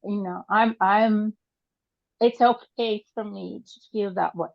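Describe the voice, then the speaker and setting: An older woman from Brazil, whose first language is Portuguese, sharing in an online group over a video call, halting and hesitant with many fillers. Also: medium pitch, fairly even intonation, vocal fry, no room sound. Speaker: group participant. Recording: dull lo-fi call audio.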